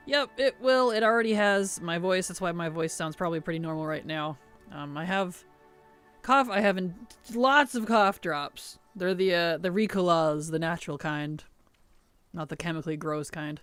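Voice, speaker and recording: A streamer chatting casually while playing a game and answering chat, speaking around a cough drop with a slightly rough, sensitive throat. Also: mid-range voice, moderate pace, fairly bright tone, soft background music.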